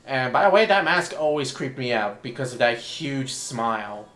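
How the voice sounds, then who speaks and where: A man with a hoarse voice giving casual, rambling commentary while he plays a video game. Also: mid-range voice, expressive, normal speed, fairly bright tone, echoey room. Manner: rambling, thinks aloud while playing. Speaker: let's play commentator. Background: game music.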